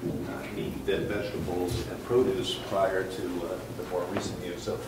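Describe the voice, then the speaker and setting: A man, an architect, presenting his project at a public hearing, halting with many fillers and restarts, recorded from afar in a large conference room. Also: low voice, flat delivery, distant mic. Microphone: far room mic. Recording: echoey room from afar, background noise.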